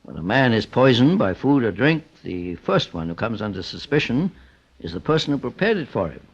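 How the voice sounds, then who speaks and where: An American actor playing a calm, formal, authoritative gentleman detective in an old-time radio drama, speaking in a measured, deliberate way. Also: mid-range voice, husky. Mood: thoughtful. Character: calm, authoritative gentleman private investigator, the boss. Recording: muffled old radio broadcast.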